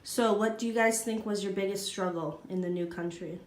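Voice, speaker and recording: A woman's voice: medium pitch, steady tone, a little fast. Woman interviewer, direct questions, roomy recording. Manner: direct, plainly worded questions. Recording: roomy echo.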